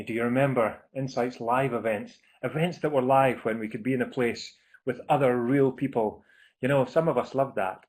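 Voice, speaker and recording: A Scottish man hosts a live online webinar, talking through a computer mic on a video call. His voice sits in an ordinary middle pitch. He is chatty and upbeat, often filling pauses with ums and uhs. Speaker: webinar host.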